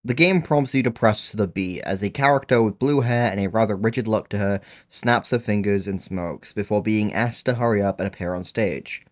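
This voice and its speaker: A man's voice: fairly low pitch, normal speed, few pauses. A man narrating a scripted video essay in an earnest, enthusiastic manner, with casual asides.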